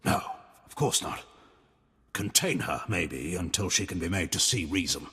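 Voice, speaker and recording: A man's voice: sits low, animated, moderate pace, bright, forceful tone, slightly rough, in a large hall, full character voice. An actor playing an imperious admiral and anguished father in a video game, formal and theatrical in manner. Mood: moved, subdued.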